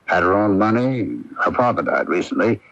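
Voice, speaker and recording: A man's voice: on the low side, very animated, moderate pace, a bit bright, no echo. An actor playing a genial, teasing small-town newspaperman with a breezy, folksy manner in a 1980s radio drama. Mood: matter-of-fact. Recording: dull, lo-fi 1980s radio broadcast.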